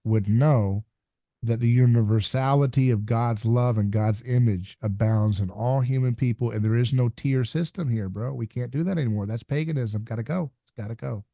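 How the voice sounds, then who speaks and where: A man's voice: mid-range voice, moderate pace, vocal fry, mellow, no echo, studio quality. A man hosting a conversation podcast, talking in an earnest, emphatic, somewhat preachy way. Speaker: podcast host. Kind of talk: casual conversation.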